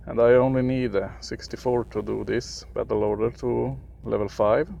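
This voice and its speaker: A young man from Sweden with a Swedish accent, for whom English is a third language, giving casual gameplay commentary in a halting way, often searching for words. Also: medium pitch, steady tone, vocal fry, mellow, slight background noise. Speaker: gaming video creator.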